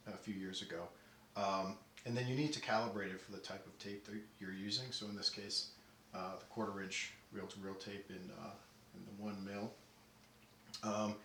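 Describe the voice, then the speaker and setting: Low-voiced man, roomy distant recording. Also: pushed and bright. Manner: halting, methodical explaining. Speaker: audio deck restorer demonstrating work. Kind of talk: unscripted technical walkthrough. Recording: roomy, distant mic, faint noise.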